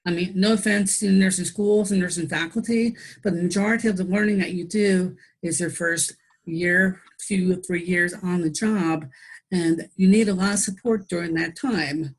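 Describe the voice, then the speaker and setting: A woman's voice: fairly low pitch, fairly even intonation, normal speed, husky, rough recording. A woman in a conversational interview, a nurse explaining her views in an emphatic, pointed way.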